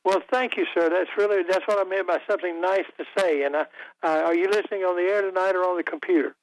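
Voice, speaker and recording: An older man hosting a call-in radio talk show, talking in a halting way with many fillers and restarts, his voice a little rough. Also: sits high, steady tone, dry recording. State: voice slightly rough after illness. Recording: dry, tinny broadcast audio.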